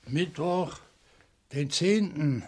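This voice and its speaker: A polite, bookish professor in a radio crime drama. His voice sits a little high for a man. He speaks with careful, deliberate precision and old-school courtesy. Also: darkish tone. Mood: polite, measured. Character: courteous, bookish professor, a night owl with a dog. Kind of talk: German radio crime play acting.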